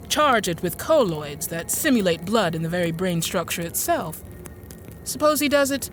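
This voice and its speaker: An actress playing a stern, uneasy woman recounting a dark tale in an audio drama, with a hesitant, insistent delivery. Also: medium pitch. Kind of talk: audio drama monologue and dialogue.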